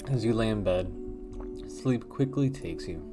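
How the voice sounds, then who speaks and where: A man with a very low voice reads a text-based horror game aloud. His delivery is hushed and measured with a wondering, uneasy tone, and faint music plays underneath. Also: expressive. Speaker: let's play host.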